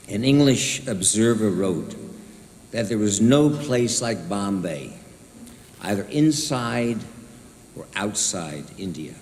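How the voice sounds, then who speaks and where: Slow, deep-voiced man reading. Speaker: guest reader. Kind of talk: reading a historical quote aloud. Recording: reverberant hall with faint ambience.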